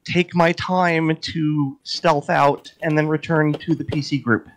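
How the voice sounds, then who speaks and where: A man at a tabletop game asks questions and states his actions with care. His voice sits fairly high for a man. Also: steady tone, slow. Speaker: player.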